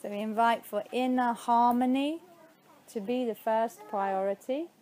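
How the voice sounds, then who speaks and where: A woman teaching yoga nidra, guiding a meditation in a soothing, unhurried way with spacious pauses between phrases. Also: on the high side, mellow, a little hiss or hum. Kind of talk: guided meditation.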